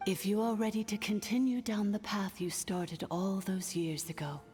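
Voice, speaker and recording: A woman's voice: mid-range voice, steady tone, a little mellow, lightly acted. Woman as a reverberant, solemn machine ruler. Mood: resolute. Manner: formal, measured, grave exposition. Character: solemn ancient female artificial intelligence ruler. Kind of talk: video game voice acting. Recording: long cavernous reverb, music underneath.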